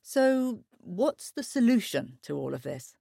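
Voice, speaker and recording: A woman's voice: mid-range voice, big pitch swings, creaky, dark tone, slightly rough, no room sound, full character voice. A woman playing a composed, probing news anchor conducting a formal broadcast interview in an audio drama. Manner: formal, measured questioning.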